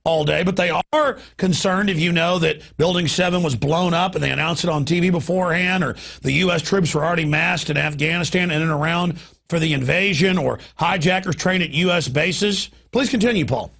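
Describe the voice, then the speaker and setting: A male talk radio host with a high, raspy voice that grinds into heavy gravel. He delivers opinionated political talk emphatically, ranting and piling one example on another. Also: brisk, fairly bright tone.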